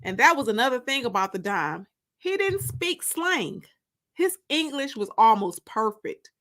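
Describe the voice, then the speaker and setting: A woman narrating a first-person story aloud in an expressive, confiding, emphatic way. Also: fairly high pitch, a little slow.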